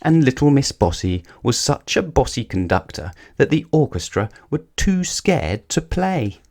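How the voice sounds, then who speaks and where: A man reading a children's picture book aloud as a storyteller, with deliberate, dramatic emphasis. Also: mid-range voice, big pitch swings, darkish tone. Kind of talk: expressive scripted children's story narration.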